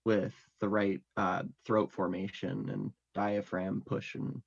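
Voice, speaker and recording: Low-ish dude rambling thru an interview, like um like, kinda muffled lo-fi sound. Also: male voice, little pitch movement, slow, gravelly, breathy edge. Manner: rambling, heavy on fillers like "like" and "um". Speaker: musician and vocalist, interview guest. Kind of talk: casual interview chat. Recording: dull lo-fi audio.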